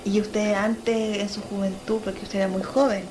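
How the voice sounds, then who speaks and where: A woman's voice: mid-range voice, level tone, normal speed. A woman asking conversational questions as an interviewer in the field. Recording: noisy field recording, off mic.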